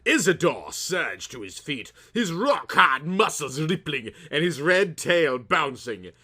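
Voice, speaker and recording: A man's voice: on the high side, big pitch swings, slow, pushed and bright. A man narrating a comic story in a dramatic, mock-serious, melodramatic way.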